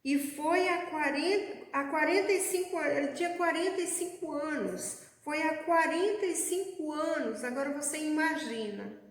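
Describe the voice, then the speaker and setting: Woman preacher, high voice, slow measured delivery, long church-hall reverb. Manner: slow, measured preaching, addressing the congregation as beloved. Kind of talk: sermon.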